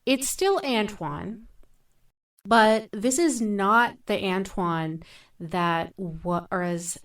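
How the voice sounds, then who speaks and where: A woman in a thoughtful podcast discussion, speaking in a deliberate, measured way with emphatic phrasing. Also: on the low side, recorded from a distance.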